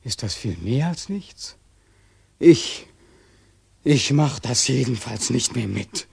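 An actor in a German radio play, playing a bitter, exhausted young war veteran who throws out insistent, accusing questions. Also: male voice, mid-range voice, lively intonation, takes it slowly, gravelly, a little mellow, breathy edge, no echo, exaggerated voice. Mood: bitter, weary. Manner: insistent repetition, accusing questions, swinging from outcry to whisper.

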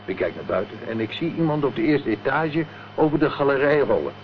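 A man's voice: medium pitch, sing-song, a little fast, lightly acted. A man playing a plain-spoken, uneasy building caretaker in a Dutch radio drama. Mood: plain, matter-of-fact. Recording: dull old radio recording, faint noise.

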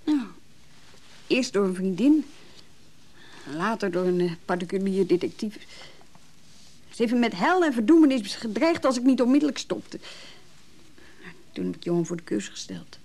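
A woman's voice: medium pitch, animated, normal speed, long pauses, mellow. An actress playing a hurt, disillusioned woman in a radio play, nervy and brooding, speaking in hesitant, broken phrases. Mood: agitated, indignant. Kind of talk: naturalistic Dutch radio drama dialogue.

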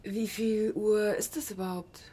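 Cool, impatient woman, mid-pitched. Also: level tone, unhurried, dark tone, breathy edge. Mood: cool, offhand. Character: cool, impatient woman receiving an unwelcome visitor. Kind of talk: German radio play acting.

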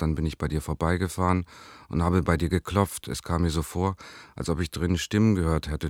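Leon, a very deep-voiced yearning lover. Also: male voice, no echo, studio quality. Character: Leon, yearning, needy male lover writing a note. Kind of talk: radio drama letter reading.

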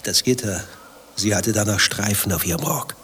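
An actor playing a vain, evasive lover in a radio play, speaking with a defensive, indignant manner. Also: male voice, lowish voice, sharp tone, a little hiss or hum. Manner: defensive, indignant, quick to retort. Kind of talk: German radio play dialogue.

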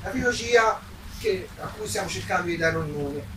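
A man's voice: high voice, animated, normal speed. A man presenting his books as their author in an informal, unscripted way, hesitant and rambling, often adding little tag questions. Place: public book presentation, recorded from a distance. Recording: echoey, distant mic, audible crowd.

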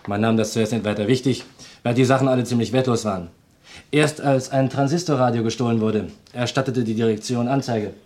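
A man's voice: medium pitch, steady tone, fairly quick, darkish tone, close to an everyday voice. A man playing a dutiful police assistant in a German radio crime play, reporting in a clipped, factual way. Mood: matter-of-fact. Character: dutiful, matter-of-fact male police assistant. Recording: clearly hissy old radio recording.

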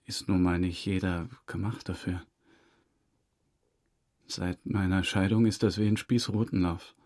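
An actor playing an evasive, embarrassed suspect in a radio drama, speaking haltingly and defensively in a husky voice. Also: male voice, sits low, fairly even intonation, a little mellow. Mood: resigned. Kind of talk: radio drama interrogation dialogue.

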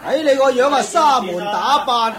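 A chuckling, worldly auctioneer. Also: male voice, extremely high pitch, lively intonation, moderate pace, crowd noise. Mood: amused. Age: middle-aged. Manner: chuckling, knowing, lecturing. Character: chatty, worldly middle-aged male auctioneer. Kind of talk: radio play acting.

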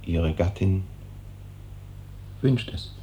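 An actor playing an evasive, hesitant hospital doctor in a 1950s German radio drama, on an old noisy recording. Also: male voice, deep voice, expressive, drawn-out words, mellow, full character voice. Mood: guarded. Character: evasive, brisk hospital doctor, uneasy with hard truths.

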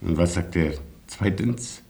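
A man playing a proud army colonel in a German radio play, measured, formal and laconic. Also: low-pitched, dark tone. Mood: commanding, composed. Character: proud, calm Polish army colonel, gentleman and lady's man.

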